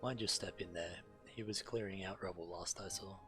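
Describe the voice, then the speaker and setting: A man streaming a game, reading quest text aloud in a measured way, now and then stumbling and correcting himself. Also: low voice, steady tone. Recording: game music behind the voice. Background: video game music.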